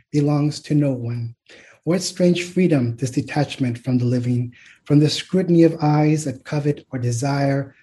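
Mellow-voiced man reading poetry. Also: on the high side. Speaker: poet. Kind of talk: slow literary poetry reading.

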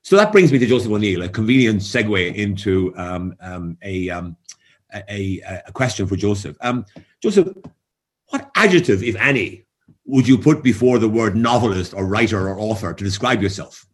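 A man's voice: on the low side, normal speed. A man moderating a panel discussion, speaking thoughtfully with many fillers and restarts.

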